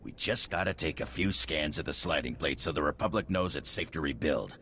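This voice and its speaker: Game role: very low-voiced male mercenary boss, scornful and taunting, some ambient noise. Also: lively intonation, a little fast, pushed and bright, heavily acted. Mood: impatient, goading. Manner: sneering, taunting, blunt. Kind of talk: video game character acting.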